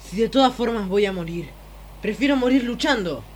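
A man's voice: sits high, lively intonation, moderate pace, a little hiss or hum. Earnest young boy warrior. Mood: brave, resolute. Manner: earnest, defiant. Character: young boy warrior, brave, defiant, anguished. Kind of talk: radio drama acting.

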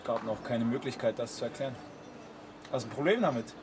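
A man's voice: medium pitch, fast talker, lightly acted. An actor playing a breezy, cheeky young policeman in a naturalistic radio drama. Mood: defensive. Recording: noisy outdoor ambience.

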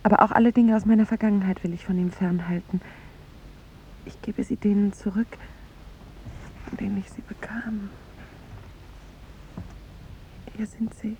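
An actress playing a charming young woman in a 1950s radio drama, speaking softly, earnestly and with delicate restraint, gently resolute in parting. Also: steady tone, normal speed, pauses often, close to an everyday voice. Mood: resolute, subdued. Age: young adult. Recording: muffled vintage radio recording, rumbling hiss.

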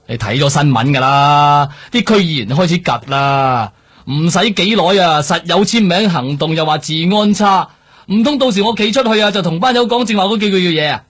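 A man's voice: fairly high pitch, normal speed, slightly rough. An actor playing a weary, grumbling male police superior in a radio drama, speaking Hong Kong Cantonese with frequent sighs. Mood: exasperated. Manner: sighing, grumbling, animated Cantonese officer.

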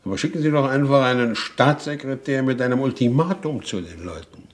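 An actor playing a brusque, impatient war minister, curt and dismissive, in 1950s radio drama style. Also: male voice, medium pitch, brisk, lightly acted. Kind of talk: 1950s German radio play acting.

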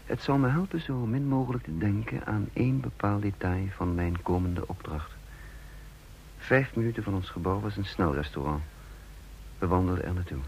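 Man playing calculating double agent in a radio drama, lowish voice, measured and probing, bit of noise. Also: a little mellow, natural voice. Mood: reflective, guarded. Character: calculating male double agent posing as counterintelligence chief. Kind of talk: Dutch radio play acting and first-person narration. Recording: noisy old radio play recording.

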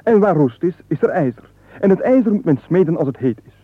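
Wily broke squire, compulsive babbler, highish voice, mock-deferential, florid, comic radio play, narrow phone-like band. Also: male voice, sing-song, a little fast, no echo. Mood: glib, rambling. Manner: flowery, wordy, mock-deferential. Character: penniless wily young squire, an unstoppable babbler. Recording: muffled narrow-band 1980s radio recording.

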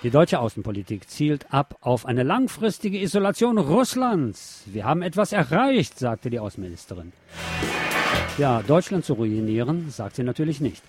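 A man's voice: medium pitch, sing-song, normal speed. Sardonic man reading news over music. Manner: sardonic, mocking asides. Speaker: radio show host. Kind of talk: reading news articles aloud with sardonic commentary.

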